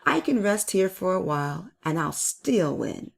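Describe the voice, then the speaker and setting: A woman's voice: on the low side, big pitch swings, a little slow, vocal fry, full character voice. A woman voicing a boastful, eager young male hare for a children's storybook. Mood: smug. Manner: bragging, exclamatory.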